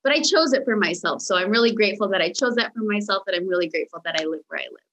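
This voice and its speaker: A young American woman, Romanian as first language, telling her story as a podcast guest with warm enthusiasm. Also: mid-range voice, lively intonation, very fast, dull audio, a little hiss or hum. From United States. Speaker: podcast guest, mindset coach. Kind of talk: casual interview chat. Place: home in Los Angeles, remote call.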